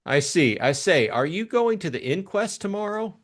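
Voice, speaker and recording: A man's voice: high voice, sing-song, normal speed, pitched above the performer's own voice. Man voicing an eager, lilting young English toff. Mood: chummy, earnest. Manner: chummy, exclamatory, slangy. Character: eager, breezy young upper-class gentleman amateur sleuth.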